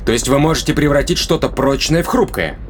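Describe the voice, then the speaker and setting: A man's voice: medium pitch, fairly quick, a little hiss or hum, close to an everyday voice. An actor playing a skeptical, rational man who interrogates in a matter-of-fact, questioning way in an audio drama.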